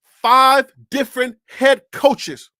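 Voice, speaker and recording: A sports show host from North Carolina on a live stream, firing off incredulous rhetorical questions and laughing between points. The voice is high and bright. The sound is close and dry, with no room around it. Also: level tone, takes it slowly, dry recording.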